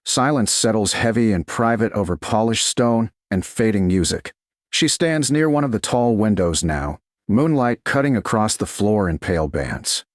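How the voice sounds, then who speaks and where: A man narrating a scripted romance story in a measured, deliberate way, with short dramatic phrases. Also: fairly low pitch, animated, no room sound, studio quality.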